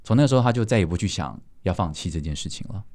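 A man's voice: deep voice, animated, racing, a little mellow. A man with a Taiwanese Mandarin accent hosting a discussion in a conversational, storytelling way. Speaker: host. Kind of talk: conversational anecdote and moderating.

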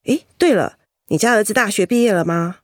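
An actress playing a reasonable middle-aged cousin, a gently persuading peacemaker, in a radio drama. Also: female voice, medium pitch, brisk, no echo, clean studio sound, natural voice. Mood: friendly.

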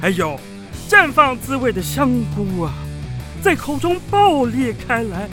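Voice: mid-range voice, very animated, unhurried, music in the background. An actor playing a pompous ancient poet judging food in a children's radio drama, theatrical and savoring every phrase. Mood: savoring, rapturous.

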